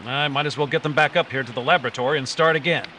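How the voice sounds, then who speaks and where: A man playing an earnest, authoritative hero of an old-time radio adventure, explaining things and giving brisk orders. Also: mid-range voice, bright, forceful tone, natural voice. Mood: decisive, puzzled. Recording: live stage recording with background noise.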